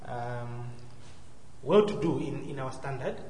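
A man's voice: mid-range voice, animated, takes it slowly, dark tone. Male guest speaker, southern African accent, courteous and hesitant, echoey live room with faint noise. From Zambia and Malawi. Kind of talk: personal testimony to a congregation. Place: large church hall, live before a congregation.

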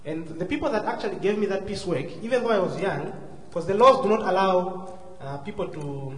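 Higher-voiced southern African man in echoey hall. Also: lively intonation, fairly quick. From Zambia and Malawi. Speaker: guest speaker. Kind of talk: personal testimony to a congregation. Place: large church hall, live before a congregation. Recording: echoey hall, faint noise.

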